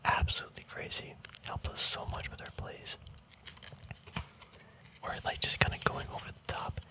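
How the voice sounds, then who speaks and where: A man speaking in a breathy whisper in a casual, relaxed ASMR style, rambling through explanations and often correcting himself. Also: normal speed. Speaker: host of a hobby card-game ASMR video.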